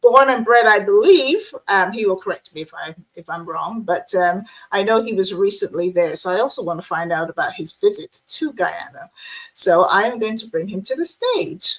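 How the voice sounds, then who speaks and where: A woman's voice: medium pitch, lively intonation, normal speed, slightly rough, rough recording. A woman hosting an interview show, chatting casually over a remote call with lots of fillers and restarts. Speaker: host.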